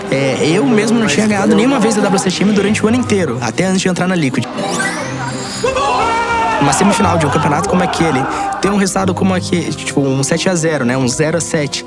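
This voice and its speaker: A man's voice: sits high, expressive, fast talker, loud music behind the voice. A man with a Brazilian accent, a pro player talking in informal slang in a documentary interview. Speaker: professional esports player.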